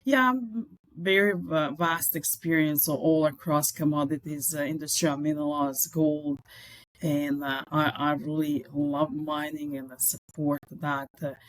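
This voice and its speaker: A woman's voice: low voice, fairly even intonation, a little slow, no echo, poor audio. A middle-aged woman from Serbia with a Serbian accent, speaking English as a second language and talking earnestly as a guest in a podcast interview. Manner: earnest, enthusiastic, with non-native phrasing. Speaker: mining executive guest.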